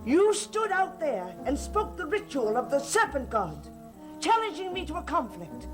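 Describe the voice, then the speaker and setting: An actress playing a stern, menacing servant who guards a master's occult secrets in a gothic soap opera, severe and accusing. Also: female voice, high voice, sing-song, normal speed, over music.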